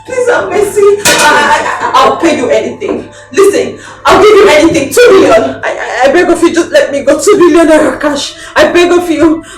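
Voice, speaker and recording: A woman's voice: high voice, lively intonation, moderate pace, few pauses, far from the mic. An actress with a Nigerian accent playing a terrified, wealthy captive woman who begs and stammers in a film drama. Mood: desperate, tearful. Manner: begging, stammering, repetitive. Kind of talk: melodramatic film acting. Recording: echoey, off-mic, music underneath.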